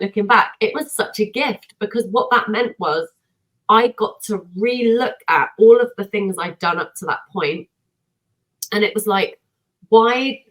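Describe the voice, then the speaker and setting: A woman singer and vocal coach, a guest on a podcast, telling her story in an energetic, self-deprecating way. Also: mid-range voice, poor audio. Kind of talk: casual podcast conversation.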